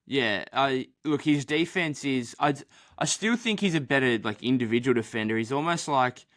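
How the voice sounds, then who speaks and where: A man's voice: mid-range voice, animated, normal speed, fairly bright tone. A young man co-hosting a casual sports podcast, rambling with many fillers and restarts, his voice dry this early in the morning. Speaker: podcast co-host.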